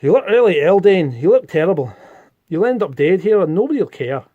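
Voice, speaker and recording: A man's voice: sits high, big pitch swings, fairly quick, mellow, no room sound, pitched above the performer's own voice. A man voicing a young punk woman from Glasgow with a put-on Glasgow accent, blunt and scolding, on a dull, slightly muffled recording. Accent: Scottish, Glasgow.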